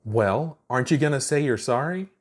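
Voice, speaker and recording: A man's voice: mid-range voice, lively intonation, normal speed, pitched above the performer's own voice, natural voice. A man voicing a defensive, easily flustered young monster girl for a story. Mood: defiant. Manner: indignant, easily flustered, stammering.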